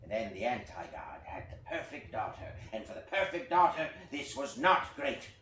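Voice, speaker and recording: A man acting as a storyteller in a play reading, telling a mock-epic fable with dramatic pauses and wry asides. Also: medium pitch, big pitch swings, a bit bright. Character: lively puppet-show storyteller spinning a mock-epic creation fable. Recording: roomy, distant mic, faint noise.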